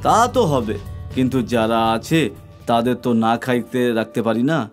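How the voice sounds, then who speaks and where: A man voicing a kind, pious dosa stall keeper with an earnest, polite manner in an animated folk tale. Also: medium pitch, moderate pace, soft background music, natural voice.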